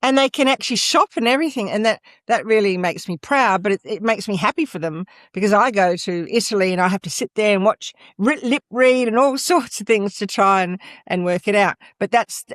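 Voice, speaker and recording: An older woman with an Australian accent speaks emphatically, stressing her points again and again. Her voice sits at a middle pitch. It is recorded close and dry, with no room sound. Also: brisk, few pauses, a bit bright, dry recording. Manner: emphatic, leans on "absolutely" and "et cetera". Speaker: guest, former school principal. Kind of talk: interview conversation.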